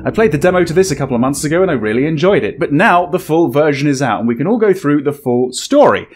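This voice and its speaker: A man's voice: fairly high pitch, animated, fast talker. A man in his thirties giving casual gameplay commentary to his viewers, close on a desk microphone. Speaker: host of a gaming channel. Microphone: desk microphone close up, headset worn. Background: game music.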